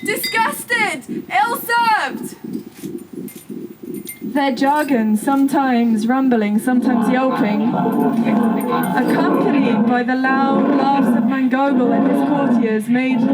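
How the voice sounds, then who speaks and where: A woman's voice: high-pitched, steady tone, measured pace, poor audio. A woman giving a performed reading of an old literary tale at a live performance. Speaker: performance artist reading a story. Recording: music over an outdoor live performance. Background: music.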